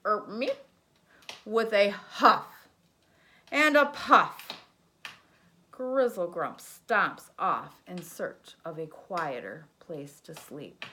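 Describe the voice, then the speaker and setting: Woman, teacher, storybook read-aloud, lively sing-song pitch, bright tone, slow. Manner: animated, sound effects, asks listeners questions.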